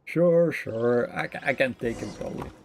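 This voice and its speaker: Gravelly man streaming a game, joking around. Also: mid-range voice, normal speed, a little mellow, slight background noise. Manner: joking, playful. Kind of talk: casual live gameplay commentary. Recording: faint game music behind.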